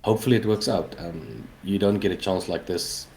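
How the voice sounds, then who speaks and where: Male game developer, forties, South African accent, native Afrikaans, low-pitched, conversational, noise behind him. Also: fairly quick, a little mellow. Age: 40s. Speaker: interview guest, indie game developer. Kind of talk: casual interview answers.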